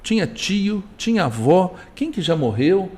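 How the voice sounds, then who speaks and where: Lively high-voiced Brazilian man telling stories. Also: unhurried. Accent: Brazilian Portuguese. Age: middle-aged. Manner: animated, rambling anecdotes with many restarts. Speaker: guest, business owner. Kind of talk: casual conversation, storytelling.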